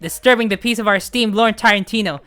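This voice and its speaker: A woman's voice: medium pitch, fast talker, a bit bright, close to an everyday voice. A woman voicing a bossy senior worker devoted to their lord, scolding in a curt, haughty way over music. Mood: scornful.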